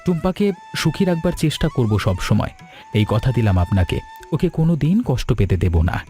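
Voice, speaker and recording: A man voicing a sincere, courteous young suitor in an animated story, speaking earnestly and politely. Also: on the high side, fairly quick, soft background music. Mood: respectful, grateful. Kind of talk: Bengali animated story dialogue.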